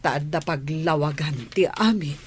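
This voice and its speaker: A woman's voice: deep voice, unhurried. A woman acting a kindly, troubled lady of the house, weary and sighing in a radio drama.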